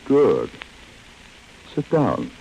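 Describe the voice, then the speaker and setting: An actor playing a politely threatening gang boss in a 1940s radio drama, measured and falsely courteous. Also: male voice, mid-range voice, wildly swooping pitch, creaky, warm tone, highs cut off, full character voice. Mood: smoothly menacing. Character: smooth, menacing male henchman boss, politely threatening. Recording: noisy vintage radio recording.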